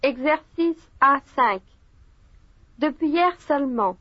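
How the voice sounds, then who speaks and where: A woman reading brisk, crisp short cues and exercise numbers in a scripted language drill. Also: high-pitched, expressive. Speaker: language course prompter. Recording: dull lo-fi recording.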